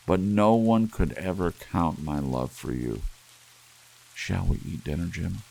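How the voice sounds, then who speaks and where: A man voicing a devoted, anxious young wife in a soft ASMR storybook reading, earnest and pleading. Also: low-pitched, unhurried, gravelly, full character voice, poor audio. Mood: pleading, loving. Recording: audible hiss under steady rain sounds. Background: soft rain.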